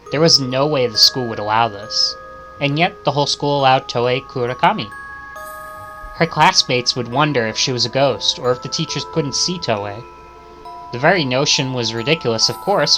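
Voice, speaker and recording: Bright-voiced man reading game text aloud. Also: medium pitch. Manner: stumbles and corrects himself while reading. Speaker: streamer playing a visual novel.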